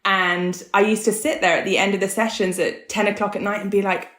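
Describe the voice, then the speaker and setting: A woman's voice: medium pitch, level tone, fairly quick, fairly bright tone. A woman in her thirties or forties who grew up in Hong Kong, telling her story candidly and casually as a podcast guest. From born in the US, grew up in Hong Kong. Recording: roomy, echoey sound.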